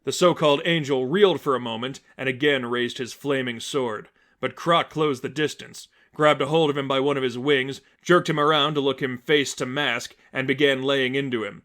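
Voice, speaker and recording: Medium-pitched man narrating dramatic fiction. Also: steady tone, normal speed, few pauses, fairly bright tone. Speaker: fan fiction reader, narrator. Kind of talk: scripted story narration.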